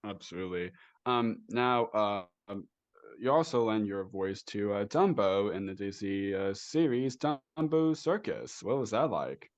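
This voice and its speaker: A man's voice: on the low side, a little slow, no room sound. A man hosting a podcast interview, phrasing his questions hesitantly, on a dull lo-fi recording. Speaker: interview host. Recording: dull, lo-fi close sound.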